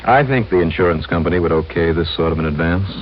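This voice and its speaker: An actor playing a wry, hard-boiled insurance investigator in 1950s radio detective style, sardonic and clipped. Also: male voice, low voice, fast, slight background noise, close to an everyday voice. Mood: softening. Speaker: lead actor and narrator. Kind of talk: 1950s radio detective acting, first-person narration. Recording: muffled old radio broadcast, music behind.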